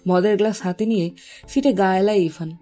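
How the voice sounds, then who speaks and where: A woman's voice: medium pitch, a little fast, warm tone, faint music behind. A woman reading scripted story narration as the narrator.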